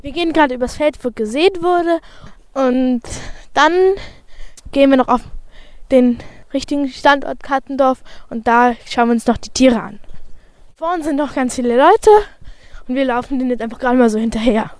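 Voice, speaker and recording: A woman's voice: sits high, moderate pace, darkish tone, dry recording. A woman reporting informally on location at a farm, chattily describing what is happening around her. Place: on a farm, mostly outdoors.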